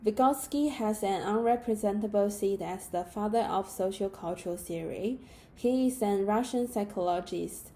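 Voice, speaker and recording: Young female student presenter, Mandarin native with Chinese accent, deep mellow timbre, measured read-aloud delivery. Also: a little hiss or hum, poor audio. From China. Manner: steady, careful reading of a prepared script.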